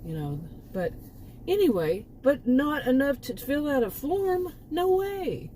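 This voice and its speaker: A woman's voice: high-pitched, sing-song, takes it slowly, mellow, slight background noise, poor audio. A woman from Texas with a Texan accent, talking casually to her channel and rambling through restarts and asides. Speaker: channel host. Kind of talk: casual monologue.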